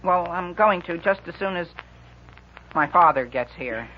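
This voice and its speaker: An earnest, stubborn teenage boy in a vintage radio comedy. His youthful voice sounds easily flustered, and the old recording is muffled with clear hiss. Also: high-pitched, lively intonation, measured pace. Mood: polite, uneasy. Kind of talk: 1940s radio comedy acting.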